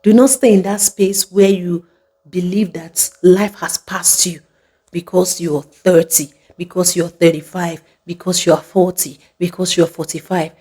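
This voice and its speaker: Female motivational host with a soft, mellow tone, addressing viewers directly and driving points home with emphatic repetition. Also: on the low side, fairly even intonation, unhurried, husky. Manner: emphatic, repeats phrases for effect. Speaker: video host.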